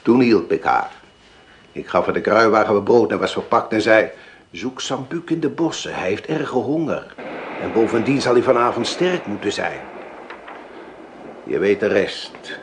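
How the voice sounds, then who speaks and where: A sly old profiteer and father in a radio play. His voice is deep and he recounts things deliberately, with theatrical weight and calculation. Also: expressive, a little hiss or hum. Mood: grave, knowing. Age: older. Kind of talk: radio drama acting.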